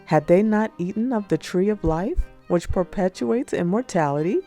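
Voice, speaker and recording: A woman narrating religious prose as an audiobook, in a measured, solemn read-aloud delivery. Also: mid-range voice, animated, warm tone. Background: music.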